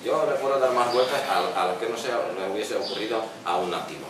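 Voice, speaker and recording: A low-pitched man, not a native Spanish speaker, presents his poetry informally. He pauses and searches for words as he reflects. The recording is distant and very reverberant, with clear background noise. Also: animated, a loud crowd. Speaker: poet and musician presenting his book. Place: echoey café event room. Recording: noisy, very echoey room, distant microphone.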